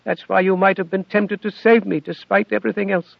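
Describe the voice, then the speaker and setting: A man's voice: sits high, level tone, a little fast, vocal fry, no echo, pitched above the performer's own voice, exaggerated voice. Man voicing an earnest female ship AI. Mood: pleading. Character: gentle female spaceship AI, earnest and dutiful. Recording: dull lo-fi recording.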